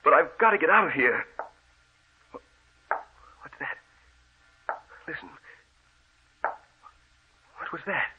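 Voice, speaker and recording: Distraught obsessed sickbed guy, high pitched, old radio horror play, tinny narrow sound. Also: lively intonation, takes it slowly, long pauses, slight background noise. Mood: agitated, suspicious. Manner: feverish, insistent, melodramatic. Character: distraught bedridden man obsessed with his dead beloved. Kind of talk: old-time radio horror drama acting. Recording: tinny, band-limited old radio recording.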